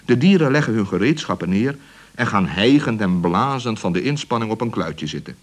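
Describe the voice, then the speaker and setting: A man narrating a scripted children's radio story as an animated storyteller. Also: on the low side, fairly quick.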